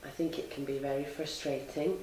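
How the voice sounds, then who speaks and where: A woman in her thirties, a teacher, giving calm, measured answers in an interview. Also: extremely low pitch, slight background noise. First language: English. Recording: echoey room, mic at a distance.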